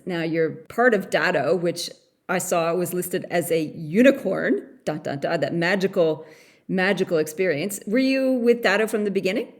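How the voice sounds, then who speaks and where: A woman from Canada hosting a podcast interview in a playful, chatty way. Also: fairly low pitch. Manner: playful, laughs easily. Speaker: podcast host. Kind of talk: casual interview chat.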